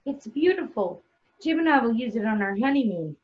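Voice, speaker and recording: A woman acts out a fragile, hopeful young wife in a stage play reading. Her voice is fairly high and eager with a fretful edge. The recording is somewhat dull and lo-fi. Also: sing-song, fairly quick. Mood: tearful, hopeful. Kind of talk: play table read. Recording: dull lo-fi online call sound.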